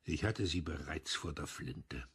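An actor playing an embittered, unyielding police officer in a crime radio drama, speaking in curt, stern declarations. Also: male voice, rumbling low, expressive, a little slow, slightly rough, heavily acted. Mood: cold, resolute.

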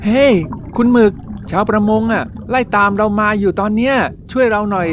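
A woman's voice: mid-range voice, moderate pace, lightly acted. A woman voicing a brave young fish hero in a dubbed cartoon, urgent and bossy. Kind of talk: cartoon voice acting. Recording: music bed under voice.

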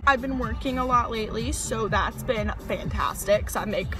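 A young woman rambling to a dashboard camera while sitting and driving in her car, chatty and exasperated, getting loud and then going soft. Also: a little high, moderate pace, music in the background. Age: young adult. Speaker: vlogger. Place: inside a parked and moving car, daytime. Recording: car with road noise.

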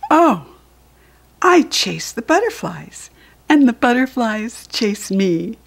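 An American woman reading a picture book aloud to young children, gently explaining things as she goes in a soft, breathy voice. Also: fairly high pitch, sing-song, slow. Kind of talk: read-aloud storytelling with asides to young children.

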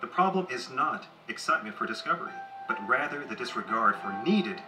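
An actor playing a patient, principled archaeology mentor in an audio drama, earnest and instructive. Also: male voice, medium pitch, lively intonation, a little fast, close to an everyday voice. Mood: earnest instruction. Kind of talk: scripted audio drama acting. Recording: played from a TV, roomy, music behind.

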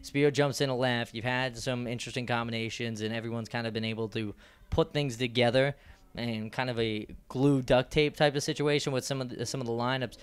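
A man's voice: medium pitch, fairly even intonation, brisk, clean tone. A young man with a handheld mic, hosting a postgame sports interview with long, rambling, upbeat questions. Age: young adult. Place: small indoor press backdrop.

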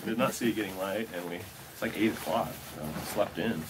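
A man's voice: lowish voice, a little fast. An American man in his thirties chatting casually, with an easygoing, wry manner. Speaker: vlogger. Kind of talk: casual chat. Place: small wooden log hut. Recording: noisy camera audio, sizzling snow-melt noise.

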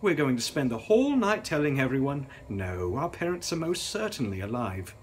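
A man's voice: medium pitch, sing-song, moderate pace, a little hiss or hum, voice pushed up, lightly acted. A man voicing a mocking, spiteful eighteen-year-old Victorian sister in a gothic storybook reading. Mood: haughty, teasing. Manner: mocking, theatrical.

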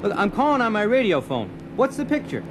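An actor playing an eager, busy electrician in an audio drama. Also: male voice, high-pitched, wildly swooping pitch, brisk, noisy background. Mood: urgent. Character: eager, busy male power company electrician.

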